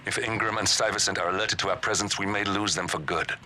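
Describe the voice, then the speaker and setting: A man's voice: lowish voice, fairly even intonation, fast, bright, forceful tone, slight background noise. A man playing a focused, urgent mission handler in a video game, giving controlled, earnest instructions. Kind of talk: video game cinematic acting.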